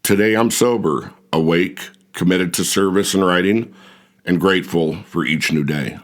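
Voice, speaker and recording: A man narrating an audiobook foreword in a measured, even, scripted reading. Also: low voice. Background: faint music.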